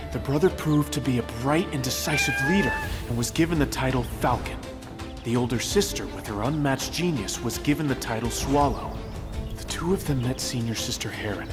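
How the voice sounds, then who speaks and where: A man playing an earnest, thoughtful sect disciple who recounts old tales in a measured, dramatic way for a video game. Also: medium pitch, lightly acted. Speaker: non-player character giving backstory. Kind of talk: expressive storytelling in video game dialogue.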